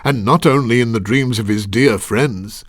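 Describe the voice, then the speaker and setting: A man playing a kindly, patient storyteller, narrating a children's game in a measured, gently musing way. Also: medium pitch, dry recording, clean studio sound, close to an everyday voice. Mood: gentle storytelling.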